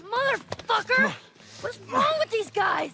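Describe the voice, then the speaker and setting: A woman's voice: extremely high pitch, lively intonation, a little slow, creaky, very noisy, exaggerated voice. An actress playing a foul-mouthed, sarcastic, curious teenage girl in a naturalistic video game cutscene. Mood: alarmed, feisty. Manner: sarcastic, teasing, casual swearing.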